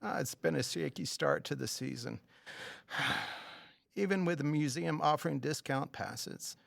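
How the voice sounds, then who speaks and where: A man's voice: a little high, unhurried, creaky, fairly bright tone, no room sound, clean studio sound, full character voice. An actor playing a hearty, fussy middle-aged tour guide and father in an audio drama, genial and a little formal. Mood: disappointed.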